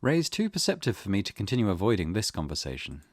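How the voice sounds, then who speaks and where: A man's voice: on the low side, huge pitch swings, quick pace, no room sound. A man hosting a let's play, musing casually and reading game text aloud in a conversational way. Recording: faint background noise.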